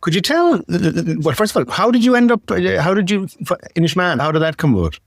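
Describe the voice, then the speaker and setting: A man's voice: high-pitched, animated, fast talker, no room sound, studio quality. A man hosting an interview, repeating words and stumbling as he builds his long questions. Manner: stammering, repeats words while forming questions. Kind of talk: podcast interview.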